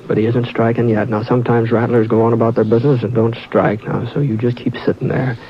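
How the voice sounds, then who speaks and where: An actor playing a calm frontier cowboy who also narrates the tale, talking in a measured, reassuring way. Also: male voice, mid-range voice, breathy edge, natural voice. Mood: tense. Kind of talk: 1950s radio drama acting. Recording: dull old radio transcription with music.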